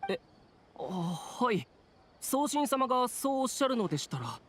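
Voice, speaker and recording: A man's voice: extremely high pitch, very animated, fast talker, a bit bright, slight background noise, exaggerated voice. A man voicing a polite, helpful, concerned young event organizer in an anime game, with music behind. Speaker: game character voice. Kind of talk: anime game dialogue acting.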